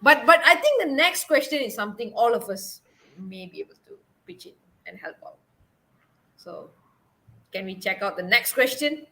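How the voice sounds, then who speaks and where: Bright-voiced young woman hosting. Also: on the high side, big pitch swings, moderate pace. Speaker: host. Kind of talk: online interview.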